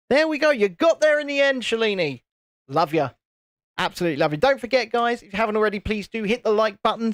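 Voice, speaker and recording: A man with a naturally high-pitched voice, hosting a casual livestream and cheekily bantering while reading out chat comments. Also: no room sound, clean studio sound. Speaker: host of a building livestream.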